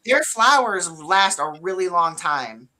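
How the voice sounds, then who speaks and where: A young woman with a deeper voice, co-hosting a relaxed, chatty talk show with lots of fillers. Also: takes it slowly, crowd noise. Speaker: co-host. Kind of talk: casual chat.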